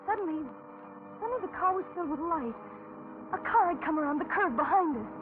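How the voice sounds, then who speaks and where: An actress playing a frightened young waitress held hostage, with anxious, halting delivery in 1940s radio suspense style. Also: female voice, very high voice, lively intonation, over music. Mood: anxious narration. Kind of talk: 1940s radio suspense acting, dramatic narration. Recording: tinny, noisy 1940s radio recording.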